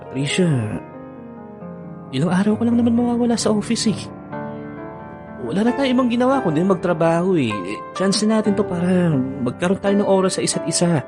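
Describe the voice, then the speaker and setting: A man's voice: sits high, lively intonation, fairly quick, pauses often. A man with a Filipino accent playing a hurt, pleading boyfriend in an online radio drama, coaxing and insistent. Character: hurt, pleading boyfriend planning an anniversary getaway. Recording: music bed under the voice.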